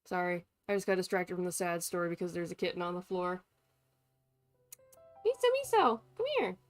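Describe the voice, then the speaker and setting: A woman live-streaming a game with casual, expressive and sweary commentary, sometimes reading text aloud. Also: lowish voice, a little fast. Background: faint game music.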